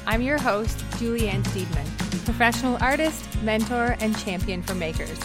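A woman's voice: on the high side, lively intonation, normal speed. A woman hosting a podcast, reading an eager introduction and asking interview questions. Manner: enthusiastic, gushing introductions. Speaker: podcast host. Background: music bed.

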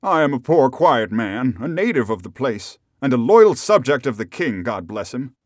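Man acting a bewildered old man, high and pleading. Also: very animated, normal speed, darkish tone, husky, no room sound, studio quality. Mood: frightened pleading. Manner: plaintive, exclamatory.

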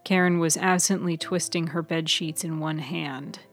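A woman narrating scripted fiction in a deliberate, dramatic way. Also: deep voice, fairly even intonation, soft background music. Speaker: author and narrator.

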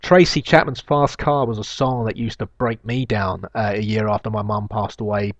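A man hosting his own podcast alone, talking in a low voice with a slight lisp. He muses at length, full of fillers and restarts. The recording is dry and slightly dull. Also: fairly even intonation, normal speed, dry recording. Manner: reflective, rambling, with frequent fillers and restarts. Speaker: podcast host. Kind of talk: solo podcast monologue, reading listener emails.

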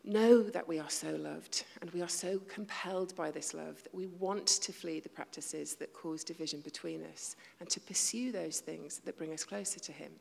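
Low, gravelly woman preaching in a reverberant hall. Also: normal speed, few pauses. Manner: conversational, self-deprecating, with hushed asides. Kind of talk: sermon.

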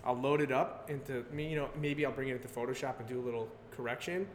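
Brief: man, 30s, rambling podcast guest, mid pitch, noisy roomy brewery recording. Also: steady tone. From Connecticut. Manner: loose and rambling, heavy on "like", quick laughs. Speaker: guest, graphic artist. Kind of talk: casual podcast chat. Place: brewery taproom. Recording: roomy live venue with loud background noise.

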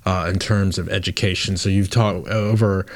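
A man's voice: low voice, fairly even intonation, moderate pace, no echo. A man interviewing a guest, asking direct, plain questions.

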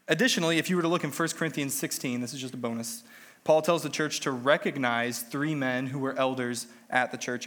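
A man's voice: medium pitch, fairly bright tone. A man delivering a sermon while he has a cold, sounding a little nasal, teaching in a conversational, self-deprecating way. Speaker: lay preacher.

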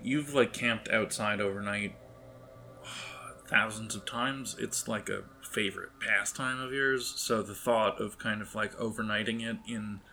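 A man narrating an improvised tabletop game as game master, in a halting, conversational way, speaking directly to his players. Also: on the low side, pushed and bright.

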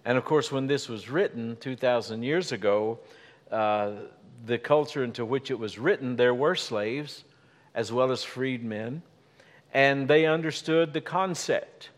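A man's voice: mid-range voice, a little slow. A man preaching a sermon as pastor, conversational with folksy asides and rising emphasis on key points. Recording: hall reverb.

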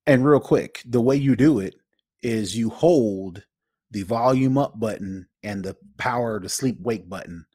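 A man's voice: lowish voice, unhurried, darkish tone, no room sound, studio quality. A man co-hosting a tech podcast in casual chat, explaining things informally. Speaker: podcast co-host.

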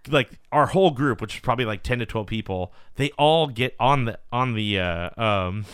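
A man's voice: medium pitch, lively intonation, moderate pace, a bit bright, clear, resonant tone, no room sound, studio quality. A man hosting a podcast, chatting casually and telling long rambling stories with plenty of swearing. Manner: rambling, profane storytelling. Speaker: podcast host. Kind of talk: casual chat. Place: San Diego.

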